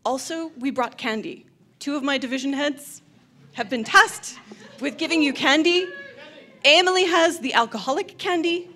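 A woman's voice: sits high, normal speed, pushed and bright. A woman presenting on a handheld mic in a large hotel function room, upbeat and eager. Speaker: convention presenter. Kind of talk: panel presentation. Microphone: handheld microphone.

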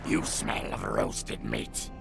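An actor playing a sinister, hungry reptile-man in a video game, with a creaky, gravelly voice and predatory taunts. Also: slow, music in the background, close to an everyday voice.

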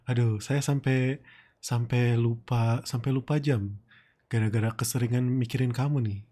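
Man acting as possessive flirty boss, dark mellow tone, unhurried delivery, teasing and coaxing, close-mic ASMR roleplay. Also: medium pitch, breathy edge.

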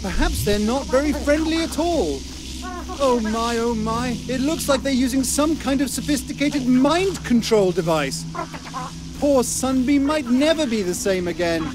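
A man's voice: extremely high pitch, animated, unhurried, noisy background. A man with a British accent playing a fussy, kindly children's storybook narrator in a game, theatrical and quaintly polite. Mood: worried. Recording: music under the voice.

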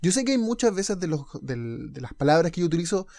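A man's voice: a little high, very animated, fast talker, gravelly, darkish tone, no room sound, studio quality. A young man from Chile with a lightly Chilean accent, talking casually to his viewers over gameplay as a gaming YouTuber. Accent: Chilean Spanish, softened toward neutral. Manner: casual, direct, explaining himself to viewers. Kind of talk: unscripted talk to camera over gameplay.

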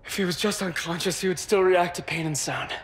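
A man's voice: high-pitched, fairly quick, slight background noise, natural voice. A man playing an earnest, worried young in a video game, speaking with urgent seriousness. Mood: worried, focused. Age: young adult. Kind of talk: video game cutscene acting.

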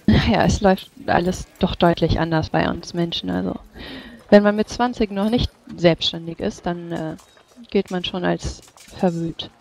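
A player voicing a polite human adventurer in their thirties in a tabletop game, explaining things in a measured way. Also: mid-range voice, fairly even intonation, creaky, a little mellow, close to an everyday voice. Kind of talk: in-character tabletop roleplay. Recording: faint background noise.

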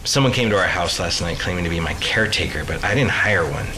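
A man's voice: sits low, lively intonation, quick pace, noisy background. An American actor playing a protective, rattled middle-aged father in an audio drama, urgent and commanding when alarmed. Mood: subdued. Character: protective, rattled father facing an eerie mystery.

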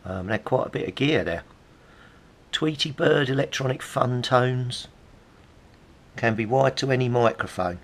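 A man's voice: mid-range voice, measured pace, pauses often. A middle-aged British man giving casual, rambling, nostalgic commentary, chatty and musing aloud as he goes. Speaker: hobbyist presenter.

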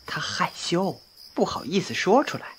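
Woman as a cheeky young man, very lively pitch. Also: normal speed, slight background noise. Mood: cheeky, teasing. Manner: playful, teasing, exclamatory. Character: cheeky, boastful, mischievous young man of wuxia tale. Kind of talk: Chinese wuxia audio drama acting.

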